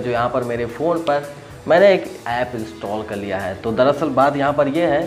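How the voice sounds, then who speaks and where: A man casually explaining an app to his viewers, conversational and often rephrasing his points. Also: medium pitch. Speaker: host of a tech app review channel.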